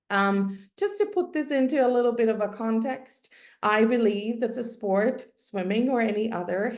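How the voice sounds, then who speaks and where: A woman whose first language is Finnish presents a sports psychology webinar at a middle pitch. She explains ideas step by step, often pausing with ums and restarting her sentences. Her voice carries a roomy echo. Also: a little mellow.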